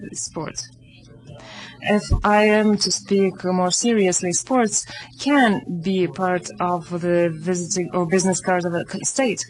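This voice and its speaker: Woman interpreter, lowish voice, halting, lots of uhs and restarts. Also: low-quality recording. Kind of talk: live consecutive interpreting into English.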